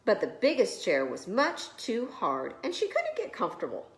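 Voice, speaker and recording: A woman's voice: a little high, huge pitch swings, normal speed, reverberant, a little hiss or hum. A woman preschool teacher reading a storybook aloud expressively to young children.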